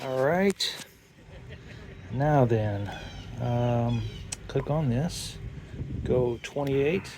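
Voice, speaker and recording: A man's voice: mid-range voice, extremely slow, pauses often. A man doing a casual hands-on amateur radio demonstration and making radio calls, recorded in the field. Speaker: amateur radio operator and video maker. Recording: noisy field recording, vehicle-like ambient noise.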